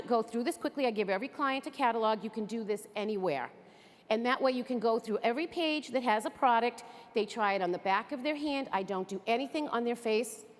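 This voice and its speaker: Woman, high voice, chatty and upbeat, long hall echo. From Greater Boston area. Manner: chatty, upbeat, addresses the audience directly. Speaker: beauty consultant giving a training talk. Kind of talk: enthusiastic stage presentation. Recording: echoey hall.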